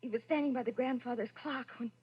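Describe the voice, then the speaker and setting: A woman's voice: a little high, fairly even intonation, quick pace, husky. An actress playing a frightened, devoted young woman, anxious and pleading with her fugitive lover in 1940s radio melodrama style. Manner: anxious, pleading, breathlessly emotional. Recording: dull lo-fi vintage radio recording.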